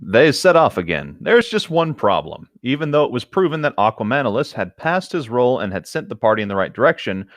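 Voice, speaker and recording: Male podcaster, animated intonation, dry close recording, relaxed chat and reading with some hesitancy. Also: mid-range voice, fairly quick, hardly any pauses, no room sound. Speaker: podcast co-host. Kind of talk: casual chat and story reading.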